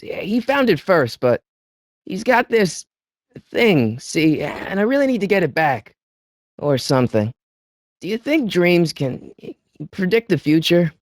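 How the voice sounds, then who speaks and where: A man's voice: dry recording, clean studio sound. A young man with an American accent voicing an earnest, nervous teenage boy in a video game, youthful in tone and hesitant, slangy in delivery. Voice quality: youthful, high-pitched for a man. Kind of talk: video game character dialogue.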